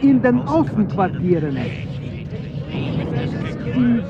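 An actor playing a grave, reflective witness in an avant-garde radio drama, speaking in deliberate phrases with long pauses. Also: male voice, very high voice, lively intonation, lo-fi sound, over a noisy crowd, exaggerated voice. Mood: grave recounting.

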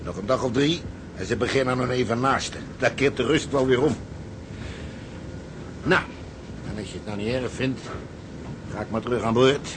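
Swaggering, rough-tongued salvage captain , male actor, mid-pitched, stubborn and commanding, radio play with loud ship noise behind. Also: moderate pace, long pauses, natural voice. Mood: gruff, scornful. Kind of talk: Dutch radio drama acting. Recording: noisy ship ambience behind voice.

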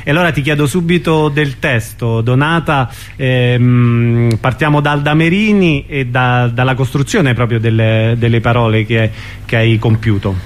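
A man's voice: medium pitch, moderate pace, slight background noise. A man hosting a radio programme, presenting and interviewing guests. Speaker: radio host.

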